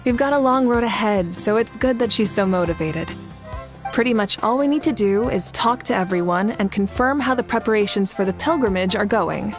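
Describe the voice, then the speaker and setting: A woman's voice: mid-range voice, fairly quick, soft background music, natural voice. A woman playing a confident, good-humored leader in a video game, with a composed, easygoing and teasing manner.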